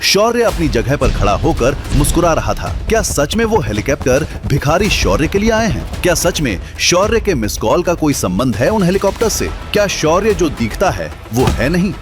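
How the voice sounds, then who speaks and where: A man's voice: a little high, very animated, normal speed, few pauses. A man narrating a scripted drama story in a dramatic storytelling style. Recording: loud music bed under voice. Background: dramatic music.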